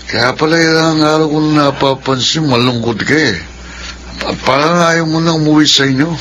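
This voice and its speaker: An actor with a Filipino accent playing a jovial drinking buddy in a radio drama. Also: male voice, a little high, normal speed, a bit bright, a little hiss or hum, close to an everyday voice. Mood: sympathetic, encouraging. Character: jovial male drinking buddy, egging his friend on.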